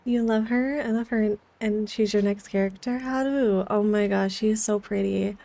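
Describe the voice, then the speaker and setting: A woman's voice: mid-range voice, fairly even intonation, normal speed, gravelly, warm tone. A woman streamer reacting casually and gushingly to a game live, with exclamations.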